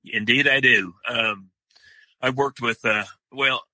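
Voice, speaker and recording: Older guy, deep loud voice, kinda raspy, bright edge, lots of uhs. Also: steady tone, dry recording, rough recording. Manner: slow, halting, many fillers and restarts. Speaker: guest, outdoor writer. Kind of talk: podcast interview.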